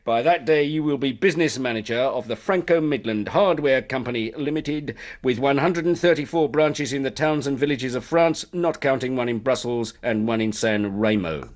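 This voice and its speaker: An actor in a radio drama playing a genial, persuasive company recruiter, effusive and patronising. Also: male voice, mid-range voice, moderate pace, few pauses, natural voice. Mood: boastful.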